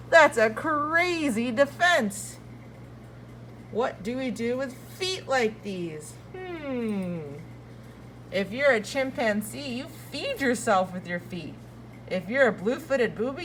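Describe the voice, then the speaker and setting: A woman reading aloud to young children like a preschool teacher, expressive and playful, drawing out key words and adding asides and questions. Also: on the high side, a little hiss or hum, rough recording.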